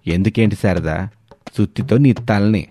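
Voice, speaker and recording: A man's voice: fairly low pitch, normal speed, warm tone. A man playing an exasperated, long-suffering middle-aged husband who grumbles and admonishes in a dramatised story.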